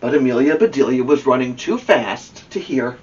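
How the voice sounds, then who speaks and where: A man reading a children's storybook aloud as host, expressive and engaging with a young listener. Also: medium pitch. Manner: expressive, pausing to ask a child listener questions. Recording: muffled, distant microphone.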